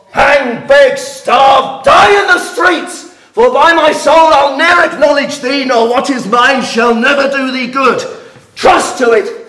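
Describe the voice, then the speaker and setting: Man acting a high-pitched, raging patriarch, echoey room. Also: full character voice. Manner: scornful, explosive, declamatory Shakespearean delivery. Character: raging domineering father, older nobleman. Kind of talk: classical stage verse acting. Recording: roomy, echoing acoustic.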